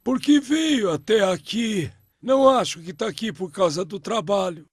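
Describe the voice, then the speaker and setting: A man playing a kindly, protective old father in a cartoon religious story, speaking in a measured way. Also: sits high, expressive, breathy edge, dry recording, clean studio sound. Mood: curious, probing. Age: older.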